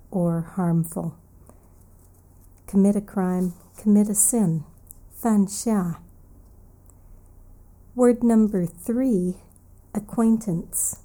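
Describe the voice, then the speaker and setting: A woman hosting an English lesson, recapping a story and then explaining vocabulary words slowly and carefully, repeating each key word. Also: medium pitch, dark tone. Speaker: English teacher and podcast host.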